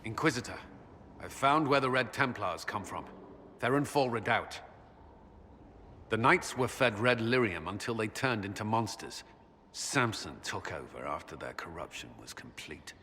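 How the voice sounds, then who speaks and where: A grave, bright-voiced commander. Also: male voice, on the low side, animated, normal speed, long reverb tail, slight background noise. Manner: grave and firm. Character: grave male military commander, stern and earnest. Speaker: military commander.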